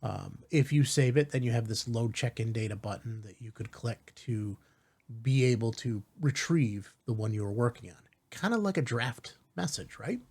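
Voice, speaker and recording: A man hosting a step-by-step software tutorial in an informal, reassuring way, often checking in with rhetorical asides. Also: mid-range voice, animated, normal speed, breathy edge. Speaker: tutorial host.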